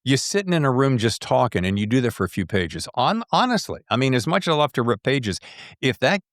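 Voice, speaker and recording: Animated male podcast host, dry close sound. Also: medium pitch, a little fast, no room sound. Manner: animated, jokey, digressive, excitable. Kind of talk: casual conversational interview.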